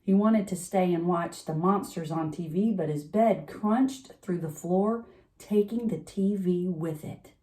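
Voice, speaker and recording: A woman's voice: fairly low pitch, unhurried, mellow. A woman storyteller reading a children's picture book aloud at bedtime, expressively, with chatty asides.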